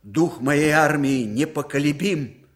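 An actor playing a weary, grieving old field marshal in a radio drama, halting and emotive. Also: male voice, a little high. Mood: proud, fervent. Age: older. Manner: halting, emotive, swelling from hushed to fervent. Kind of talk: dramatic radio play acting.

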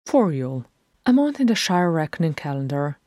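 A woman reading a fan audiobook aloud, narrating in a steady, measured way. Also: sits low, dry recording, clean studio sound. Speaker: audiobook reader.

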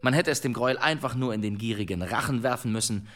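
A man's voice: medium pitch, quick pace, a bit bright, close to an everyday voice. Guy playing a wounded demon hunter, medium voice, proud n defiant, grand old-fashioned radio play talk. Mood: explaining, confident. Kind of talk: German historical radio drama acting.

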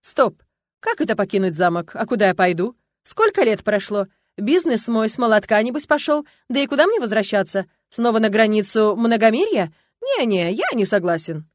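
A woman's voice: high-pitched, sing-song, a little fast, few pauses, no room sound, studio quality, voice pushed up. A woman voicing a sly, pouty young male gargoyle in an audiobook, haughty, whiny and theatrical. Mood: panicked.

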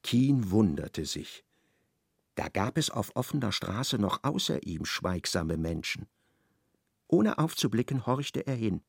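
A man narrating a radio play in a measured, ironic storytelling manner. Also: on the low side, big pitch swings, gravelly, slightly rough, no echo, clean studio sound.

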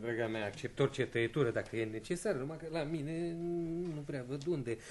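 An actor playing a vain, sardonic, condescending star actor in a radio comedy. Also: male voice, mid-range voice, darkish tone, close to an everyday voice. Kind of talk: radio theatre comedy acting.

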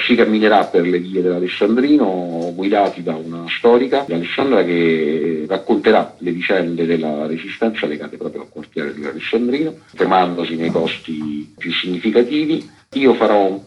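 A man, an author and actor, talking casually as a guest in a radio interview. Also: low voice. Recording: dull, lo-fi radio interview sound.

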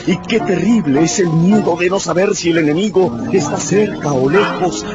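A man's voice: high-pitched, normal speed. A man narrating a scripted story for radio in a dramatic storytelling style. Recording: dull sound with music behind.

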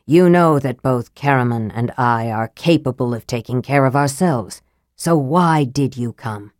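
A woman's voice: extremely low pitch, measured pace, a little mellow, dry recording, clean studio sound, pitched below the performer's own voice. A woman reading an audiobook, voicing a frail, bitter young male mage who speaks in a cool, peevish, hissing tone. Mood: cold, cutting. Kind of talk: audiobook character voice.

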